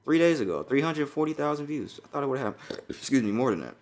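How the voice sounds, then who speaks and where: A young man from Jamaica, raised in New York, talks fast in a middle-pitched voice. He co-hosts a casual podcast, loose and profane as he riffs with a friend. His delivery stays quick and easygoing. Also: sing-song. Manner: loose and profane, riffing on a viral song. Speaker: podcast co-host.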